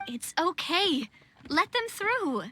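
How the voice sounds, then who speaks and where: A woman's voice: very high voice, big pitch swings, slow, bright, forceful tone, a little hiss or hum, full character voice. A woman voicing a young, confident, playful queen in a video game, with spirited but poised delivery. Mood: composed. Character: young female monarch, playful, confident, reassuring.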